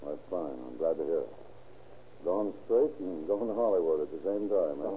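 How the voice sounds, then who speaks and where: An actor playing a tough but soft-hearted police detective in a radio crime drama, with an American accent and a clipped, slangy, wry delivery. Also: male voice, low-pitched, lively intonation, normal speed. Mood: wry, businesslike. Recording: scratchy, tinny old radio recording.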